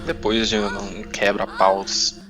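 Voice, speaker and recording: Male Brazilian game master, low register, loose colloquial storytelling that feels its way along, clear music bed. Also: flat delivery, takes it slowly. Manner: hesitant, colloquial, full of tag words. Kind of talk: improvised tabletop RPG narration, casual.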